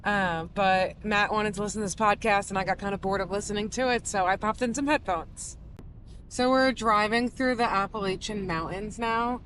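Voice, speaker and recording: A woman's voice: medium pitch, fairly even intonation, normal speed, fairly bright tone, a little hiss or hum. A young woman from the US East Coast, narrating a casual travel vlog in a chatty, upbeat way with lots of "like". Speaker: vlogger. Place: inside a car on a road trip.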